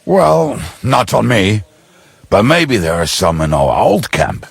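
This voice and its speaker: An actor voicing a boozy, cheeky middle-aged ruffian in a video game, with a gravelly, husky voice and a rambling manner. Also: male voice, on the low side, very animated, slow pace, dry recording, exaggerated voice. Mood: wry, rambling. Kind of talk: video game character acting.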